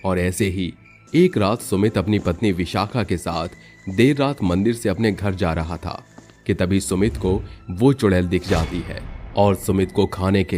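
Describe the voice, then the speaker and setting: Deep voiced guy reading a spooky cartoon story, steady and dramatic, soft music under. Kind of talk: scripted horror story narration.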